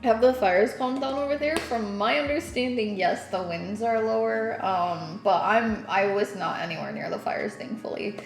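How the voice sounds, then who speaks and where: A woman's voice: fairly high pitch, normal speed, gravelly, hardly any pauses, roomy sound, soft background music. A young woman from Northern New York hosting a casual baking stream, chatting and giggling as she reads her chat aloud. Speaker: streamer host. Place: home kitchen.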